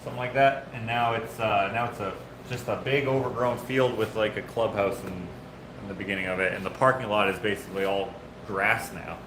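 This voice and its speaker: A man's voice: fairly low pitch, fairly even intonation, fairly quick. Male streamer in a noisy, echoey shop; casual, rambling, self-correcting. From Canada. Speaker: host of a live build stream. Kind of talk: casual chat while working. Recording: echoey workshop with loud background noise.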